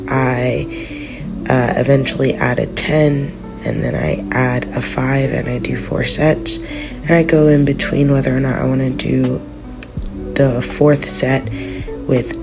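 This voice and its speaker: A congested woman giving a relaxed, rambling voice-over about her gym session. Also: extremely low pitch. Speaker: vlogger. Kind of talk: casual voice-over narration.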